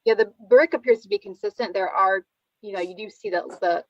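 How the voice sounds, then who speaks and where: A woman's voice: mid-range voice, a little fast, dry recording. Careful woman on a dry, muffled call. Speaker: staff presenter at a public meeting. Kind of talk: informal explanation of images. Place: online meeting. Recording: dull dry lo-fi online call.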